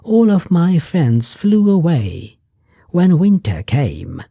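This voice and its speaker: A storyteller voicing a gentle, lonely male swallow in a fairy tale, slow and earnest. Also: medium pitch, huge pitch swings, gravelly, no room sound, clean studio sound, voice pushed up, full character voice.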